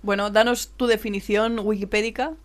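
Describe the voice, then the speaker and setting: A woman's voice: medium pitch, flat delivery, brisk, crowd noise. A woman with an accent from Spain, chatting casually and playfully in slangy, informal speech as a podcast co-host. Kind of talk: casual chat.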